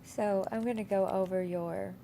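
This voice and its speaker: A woman's voice: medium pitch, fairly even intonation, normal speed, mellow, a little hiss or hum. A woman instructor with a cold and a stuffy nose walks through a course week in a casual, rambling way, full of fillers and restarts. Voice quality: gravelly. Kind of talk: casual screen-share course walkthrough.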